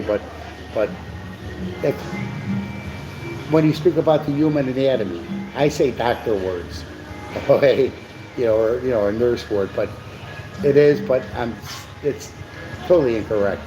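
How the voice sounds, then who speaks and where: A man co-hosting a live stream, chatting in a rambling, preachy way with lots of stammered restarts. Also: mid-range voice. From USA. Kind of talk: rambling casual chat. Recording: noisy live stream with background hum.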